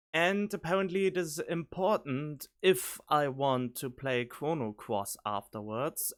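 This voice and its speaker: A man hosting a casual gaming reaction video, talking in a halting way as he thinks aloud into a studio microphone. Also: medium pitch, a bit bright. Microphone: studio condenser microphone.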